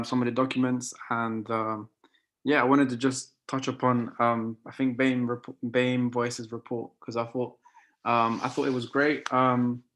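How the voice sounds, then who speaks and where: A man whose first language is Spanish speaks over a video call in a flat, level voice. He is hesitant, with frequent fillers and restarts, and his pitch stays close to the middle. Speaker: meeting participant. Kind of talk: casual contribution to an online council meeting.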